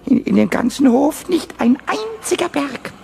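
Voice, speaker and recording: Tiny naughty kobold for a kids radio play, squeaky n scratchy, stutters, pitch jumps everywhere, bit of hiss. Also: male voice, normal speed, gravelly, exaggerated voice. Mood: scheming, excited. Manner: stammering, repeating words excitedly. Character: small excitable mischievous childlike male kobold. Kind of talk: children's radio play acting.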